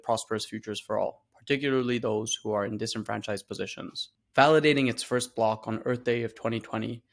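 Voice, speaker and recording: A man hosting an interview podcast, introducing his guest and asking relaxed questions with filler words. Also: mid-range voice. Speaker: podcast host.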